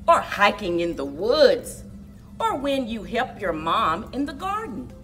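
A middle-aged woman speaking to young children as a story time host, with exaggerated, drawn-out storyteller delivery. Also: medium pitch, big pitch swings, fairly bright tone, distant mic, faint music behind. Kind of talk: expressive picture-book read-aloud and leading action activity for children. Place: indoors, children's library room.

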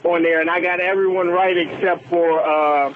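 A man phoning in to a radio show, speaking in a halting, hesitant way over a phone line. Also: high voice, fairly even intonation. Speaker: phone-in caller. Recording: tinny phone line, faint noise.

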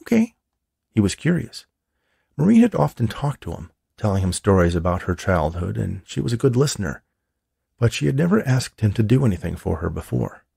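A man narrates an audiobook in a lowish, gravelly voice. His delivery is expressive and the sound is close and dry. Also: brisk, no echo. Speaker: author reading own novel.

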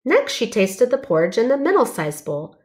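A woman's voice: fairly high pitch, big pitch swings, moderate pace, a little mellow. A woman narrating a children's storybook, reading aloud carefully and expressively.

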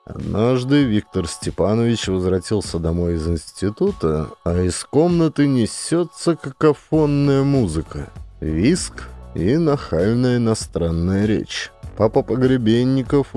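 A man's voice: fairly low pitch, dark tone. A man narrating a satirical audiobook in a dramatized storytelling style.

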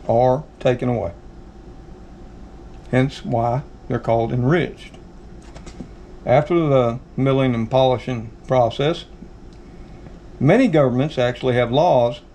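Folksy Southern man with gravelly voice. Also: medium pitch, dark tone, a little hiss or hum. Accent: Southern American. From American South. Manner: folksy, addresses viewers as folks. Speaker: channel host. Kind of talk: casual how-to talk. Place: home kitchen.

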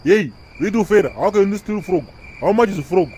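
A man's voice: high voice, lively intonation, brisk, mellow, slight background noise. A man voicing a stern, swaggering Zimbabwean police officer who blusters and interrogates in a comedy cartoon. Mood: mocking, questioning.

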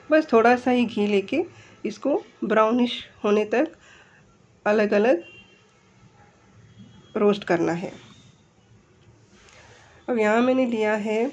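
A woman's voice: a little high, darkish tone, lo-fi sound. A woman with an Indian accent gives step-by-step instructions as a home cook in a recipe voice-over. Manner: explanatory, step-by-step.

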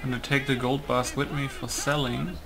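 A man's voice: mid-range voice, flat delivery, normal speed, gravelly. Man musing through gameplay, music behind. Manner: musing aloud, asking himself questions. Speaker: gaming video host. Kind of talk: casual gameplay commentary.

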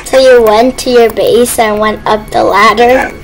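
High-pitched, excitable woman gaming. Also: a little slow, vocal fry, soft background music. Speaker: gaming video player and role-play partner. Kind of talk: casual gaming chat and improvised role-play. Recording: noisy game-play voice recording.

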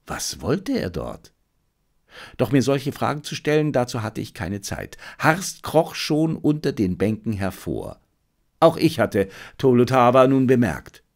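Male audiobook narrator, mid-pitched, highly animated, dramatic and suspenseful. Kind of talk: scripted narration of a detective adventure.

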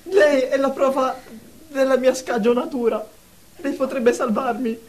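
A woman playing an excitable, insistent patient in a comic audio drama, agitated, pleading and repetitive. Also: high voice, measured pace, slight background noise.

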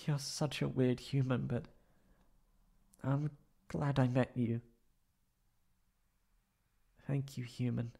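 A man voicing a shy, flustered young tsundere catboy in a high put-on voice, halting and stammering in a roleplay audio drama. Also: fairly even intonation, creaky, mellow. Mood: shy, soft. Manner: halting, stammering, pleading and defensive.

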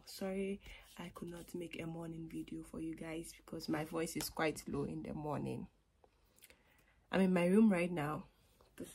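A woman's voice: low-pitched, gravelly, warm tone, breathy edge. A young woman, talking casually to a phone camera in her small bedroom, sometimes sinking to a whisper. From Ghana. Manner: chatty, drops to a whisper at times, laughs between points. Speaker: vlogger. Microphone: phone camera on a table.

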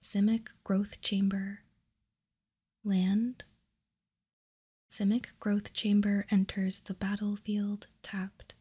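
A woman whispering softly and deliberately in ASMR style, close to the microphone as she hosts. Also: mid-range voice, flat delivery, slightly rough. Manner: soft, deliberate, unhurried whispering. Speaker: host. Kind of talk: whispered ASMR, reading trading cards aloud.

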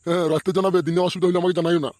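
A man's voice: sits high, flat delivery, very fast, darkish tone, dry recording, clean studio sound, close to an everyday voice. A man voicing a bossy, blustering village man in a comedy cartoon, with crude speech. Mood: officious. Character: bossy, foul-mouthed village man at a wedding. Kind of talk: amateur comedy cartoon voice acting.

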